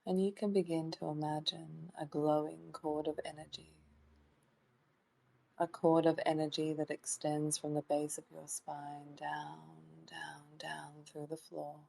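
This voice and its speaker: A woman leading a guided meditation in a soft, soothing voice that sometimes drops toward a whisper, in short phrases with long pauses. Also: low voice, fairly even intonation, creaky. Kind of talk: guided meditation, hypnotic trance induction.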